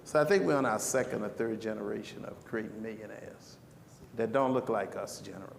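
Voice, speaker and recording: Gravelly-voiced man speaking in an echoey hall. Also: lowish voice, brisk. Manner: conversational with frequent restarts. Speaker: panelist. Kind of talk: conference panel answer. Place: conference breakout room. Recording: echoey hall with background noise.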